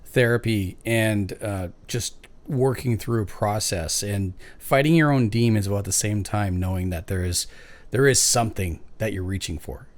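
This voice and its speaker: A man's voice: fairly low pitch, moderate pace. A man interviewing a guest as a podcast host, in a conversational style.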